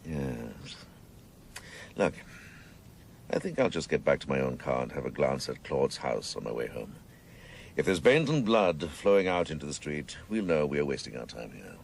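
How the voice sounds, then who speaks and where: An actor playing an obsessive, theatrical senior policeman in a radio drama, gravelly and sardonic, savouring his grandiose words. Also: very deep voice, very animated, normal speed, slight background noise, full character voice. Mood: musing, menacing.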